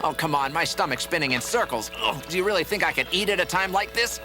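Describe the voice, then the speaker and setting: A little cartoon hedgehog dad, low in pitch and full of exasperated, fretful grumbling. He is proud and easily flustered, his voice rising and falling a lot over background music. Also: lively intonation, fast. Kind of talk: animated cartoon voice acting. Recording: music behind the voice.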